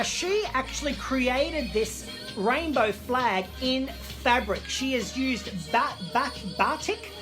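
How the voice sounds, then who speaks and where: Slow, high-pitched theatrical woman host over music. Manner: theatrical, gushing, addresses audience as darlings. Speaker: host of an online children's story time. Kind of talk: chatty show and tell to viewers. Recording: music playing in the background. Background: background disco music.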